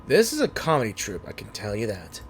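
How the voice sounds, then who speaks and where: A man's voice: lowish voice, wildly swooping pitch, fairly quick, faint music behind. A man streaming a game with casual, jokey, reactive commentary. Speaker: gaming streamer.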